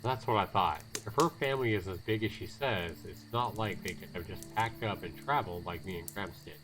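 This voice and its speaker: A man hosting a casual livestream of a visual novel, talking to viewers in a rambling, halting way and reading game text aloud. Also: on the low side, fairly bright tone, slight background noise, rough recording.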